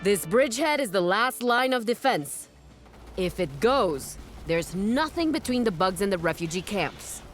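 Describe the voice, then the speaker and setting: Tough army colonel lady for a video game, clipped orders, really animated, music behind. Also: medium pitch, unhurried. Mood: determined. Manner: clipped, commanding military delivery. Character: tough, battle-hardened female colonel leading infantry against alien bugs. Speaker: military field officer in a strategy game. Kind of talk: video game cutscene acting.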